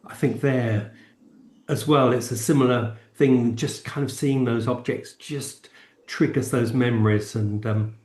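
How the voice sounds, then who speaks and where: A man's voice: mid-range voice, fairly even intonation, unhurried, a little mellow. A man co-hosting a podcast, discussing casually with tentative, circling phrasing. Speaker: podcast co-host. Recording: roomy, echoing sound.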